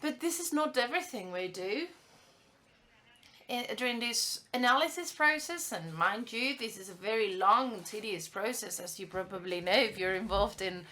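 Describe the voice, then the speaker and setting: A woman giving a live simultaneous interpretation of a conference welcome speech, halting often with fillers and restarts. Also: medium pitch, expressive, bright, forceful tone.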